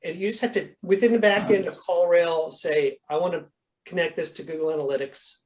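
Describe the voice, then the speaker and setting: Instructor, mid-pitched, informal coaching Q&A on a video call, explanatory and conversational. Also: steady tone, brisk, darkish tone, low-quality recording. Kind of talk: informal question-and-answer coaching.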